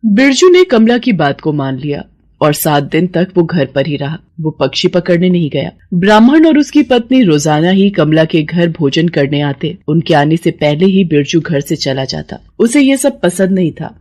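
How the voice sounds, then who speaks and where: A woman's voice: on the low side, very animated, normal speed, a little mellow. Woman narrating a moral tale. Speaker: story narrator. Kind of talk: scripted storytelling narration for a moral cartoon.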